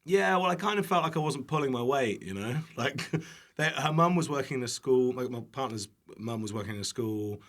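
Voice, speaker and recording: A young man talks as a guest on a podcast. His voice is middling in pitch. His delivery is casual and conversational, and he tends to put himself down. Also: animated, a little fast. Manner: self-deprecating. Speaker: podcast guest, online cooking creator. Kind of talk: casual chat.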